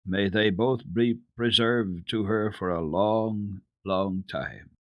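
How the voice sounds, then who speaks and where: A man voicing a hearty, grateful gentleman with an effusive, emphatic manner in a dramatic storybook reading. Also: fairly low pitch, slow, dry recording. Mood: heartfelt, assuring. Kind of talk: dramatic reading of children's classic.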